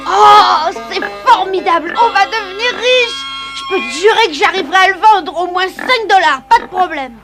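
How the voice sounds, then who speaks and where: Very high-voiced eager young boy. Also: expressive, normal speed, gravelly, hardly any pauses, exaggerated voice. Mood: excited. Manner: exclamatory, eager. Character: excitable, mischievous young boy, cartoon hero. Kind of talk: French cartoon dub acting. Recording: music under the voice.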